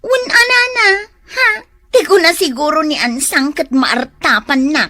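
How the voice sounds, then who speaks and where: Woman acting a high, sharp envious Ilocano housewife. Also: sing-song, measured pace, exaggerated voice. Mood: boastful, insistent. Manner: scornful, exclamatory. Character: envious competitive housewife sneering at her showy neighbour. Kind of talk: comedic Ilocano radio drama acting.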